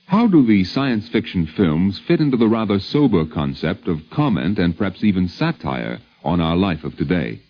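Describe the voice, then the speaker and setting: A man's voice: sits low, big pitch swings, normal speed, few pauses. An American man narrating a 1950s radio documentary from a script, with polished, wry, theatrical phrasing. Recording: muffled 1950s radio broadcast.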